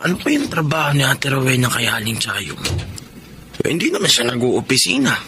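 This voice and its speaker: An actor playing a carefree young tricycle driver in a radio drama, casual and slangy, with a Filipino accent. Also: male voice, mid-range voice, big pitch swings, brisk, sharp tone, a little hiss or hum. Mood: puzzled, musing. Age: young adult. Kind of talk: Tagalog radio drama acting.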